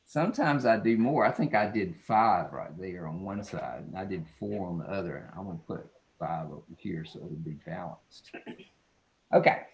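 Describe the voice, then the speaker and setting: A man's voice: sits low, lively intonation, normal speed, gravelly. A man walks through a drawing demonstration. He talks in a folksy, playful, casual way, like an illustrator chatting while he works. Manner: folksy, playful, self-described silly. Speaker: children's book illustrator.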